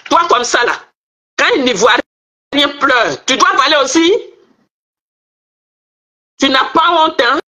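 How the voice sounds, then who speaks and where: A woman's voice: high-pitched, lively intonation, slow pace, pauses often, bright, forceful tone. A middle-aged woman from Ivory Coast with an Ivorian French accent, scolding and taunting in a heated political rant, nose stuffy from a cold. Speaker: online commentator. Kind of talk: angry political rant to viewers.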